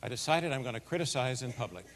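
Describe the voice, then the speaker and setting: A man's voice: medium pitch, quick pace. Big-voiced man telling stories in a hall. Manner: folksy, animated storytelling with a big voice. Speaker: keynote speaker. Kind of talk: storytelling keynote speech. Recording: long hall reverb.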